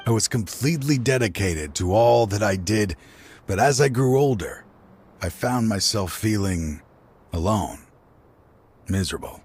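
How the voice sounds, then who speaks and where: An actor playing a weary, regretful older man in a video game, a grieving father who sighs and talks slowly. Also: lowish voice, expressive, darkish tone, slight background noise. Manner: weary, slow, sighing. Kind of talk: game cutscene voice acting.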